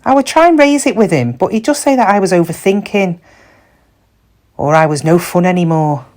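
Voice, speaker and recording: A woman's voice: fairly low pitch, animated, normal speed, a little mellow, close to an everyday voice. A middle-aged woman playing a downtrodden shop cashier in a reflective, confiding, plain-spoken dramatic monologue about her regrets. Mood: hurt, reflective. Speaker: actor in a monologue. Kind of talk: first-person dramatic monologue.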